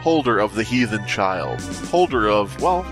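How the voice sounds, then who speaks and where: Animated man riffing on a podcast. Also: fairly low pitch, moderate pace, music in the background. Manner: crude, riffing, exclamatory. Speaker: podcast host. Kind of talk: casual joking group chat.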